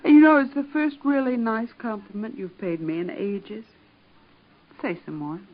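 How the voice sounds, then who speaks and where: A woman's voice: a little high, big pitch swings, a little slow. An actress playing a spirited, affectionate young wife in an old radio soap, with earnest, ladylike, formal diction. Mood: tender. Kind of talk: 1940s radio soap acting. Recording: dull, band-limited vintage radio recording.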